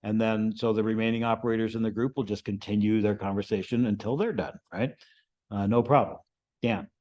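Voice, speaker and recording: Low-voiced man teaching an informal class. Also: steady tone, a little fast, a little mellow. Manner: explanatory, checks in with "right?" tags. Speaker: amateur radio class instructor. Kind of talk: informal club lesson to a group.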